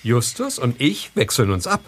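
A young, cautious male character in an audio drama. He is earnest and explanatory, with a middle pitch that moves a lot, over faint background noise. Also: wildly swooping pitch, normal speed. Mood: planning. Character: earnest, cautious young man from an African country. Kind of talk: German audio drama acting.

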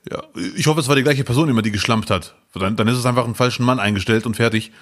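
A man in his thirties with a mid-range voice co-hosts a relaxed podcast. He tells stories with animated energy and drops jokes along the way. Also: mid-range voice, rapid-fire. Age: 30s. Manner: animated storyteller, lively and jokey. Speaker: podcast co-host. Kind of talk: casual podcast chat.

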